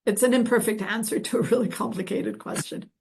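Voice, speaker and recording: A woman's voice: a little high, fairly even intonation, a little fast, gravelly, darkish tone, slightly rough. A woman from Colombia, an expert guest speaker answering audience questions at an online event in an earnest, emphatic manner.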